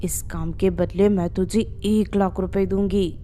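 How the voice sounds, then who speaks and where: A scheming older mother-in-law from a cartoon tale, acted out by a woman. She speaks slowly at a middling pitch, scolding and giving orders with greedy, harsh accusation. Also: little pitch movement, dark tone, a little hiss or hum, natural voice. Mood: scheming, menacing.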